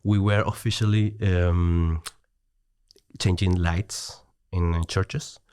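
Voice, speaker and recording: Deep-voiced Chilean man with Spanish accent. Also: fairly even intonation, slow, a little mellow, smooth, no room sound, studio quality. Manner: hesitant, restarts, laughs easily. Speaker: guest. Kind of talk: casual conversation.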